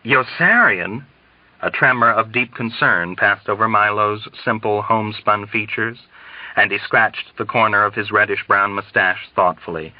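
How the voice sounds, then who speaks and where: A man reading a novel aloud as an expressive audiobook narrator, on a somewhat dull recording. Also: lowish voice, moderate pace, dry recording. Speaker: audiobook reader.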